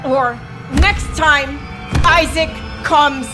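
A woman's voice: high-pitched, very slow, music in the background. A woman playing a ruthless debt enforcer in an audio drama, commanding, bossy and sardonic. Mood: menacing impatience.

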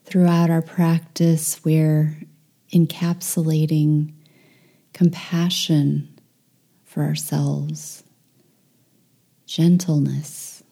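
Very soft, slow woman guiding meditation. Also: sits low, little pitch movement. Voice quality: soft, breathy, often near whisper. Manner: gentle, soothing, unhurried with long pauses. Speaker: meditation guide. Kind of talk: guided meditation.

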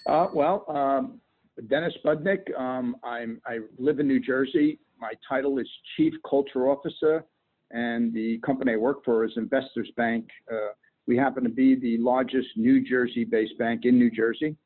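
A man answering interview questions haltingly, with many fillers. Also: medium pitch, fairly even intonation. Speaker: guest, bank culture officer. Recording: tinny phone line.

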